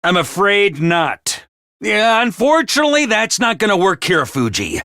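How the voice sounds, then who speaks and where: A man voicing a peppy, bossy little mascot commanding officer in a video game, with a high cartoonish voice and theatrical, jaunty drill-sergeant delivery. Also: sing-song, moderate pace, pushed and bright, no room sound, studio quality, full character voice. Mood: stern, serious. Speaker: voice actor for a video game character. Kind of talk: animated video game voice acting.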